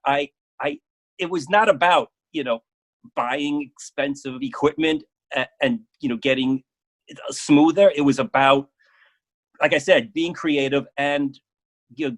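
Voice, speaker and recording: Man, medium pitch, casual interview guest, halting delivery, repeated words. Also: poor audio.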